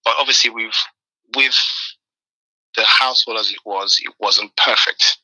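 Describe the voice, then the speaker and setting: A man's voice: lowish voice, steady tone, moderate pace, pushed and bright, no echo, rough recording. A man from Nigeria, a guest in an interview, telling his story animatedly and often repeating words while he gathers his thoughts. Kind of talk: conversational interview.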